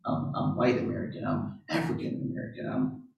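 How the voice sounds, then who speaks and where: A man's voice: fairly low pitch, steady tone, fairly quick, creaky, highs cut off, slight background noise. A man giving an interactive sermon who asks many rhetorical questions and keeps checking in with "right?". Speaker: pastor or Bible teacher. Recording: roomy echo, far from the mic.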